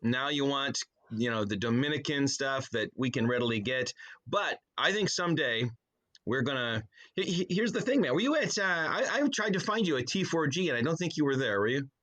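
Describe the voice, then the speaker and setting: A man's voice: medium pitch, lively intonation, a little fast, a bit bright. An American man chatting casually as a podcast guest, with a wry, joking manner. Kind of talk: casual chat.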